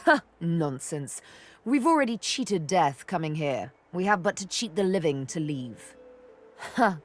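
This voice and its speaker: A woman's voice: on the low side, big pitch swings, measured pace. A woman voicing a sly elf rogue in a video game, playful and flirtatious with a theatrical, threatening edge. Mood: sly, wry. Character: sly elf woman rogue, former slave, teasing and menacing. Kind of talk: video game character acting.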